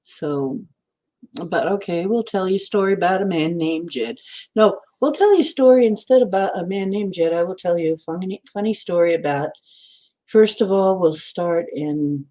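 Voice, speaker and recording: A woman's voice: on the low side, moderate pace, dark tone. Rambling woman in her sixties. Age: 60s. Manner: chatty, meandering, answers viewer comments. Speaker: livestream host. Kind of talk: rambling livestream chat.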